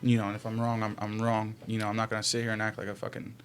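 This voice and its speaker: A man's voice: on the low side, monotone, racing. A young man in a casual podcast discussion, talking in slang with some swearing and hedging his opinions. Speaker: podcast co-host. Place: small indoor room, group around table. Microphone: desk podcast microphone. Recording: noisy small-room podcast recording.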